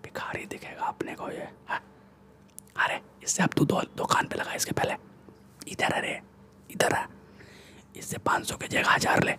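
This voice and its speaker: A young man playing a swaggering, bullying Mumbai gangster in a breathy ASMR whisper, with slangy, boastful Mumbai Hindi speech, close to the microphone. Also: normal speed, long pauses, pushed and bright, natural voice. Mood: threatening. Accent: Mumbai Hindi street slang. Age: young adult. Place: small room indoors. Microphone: close desktop condenser microphone.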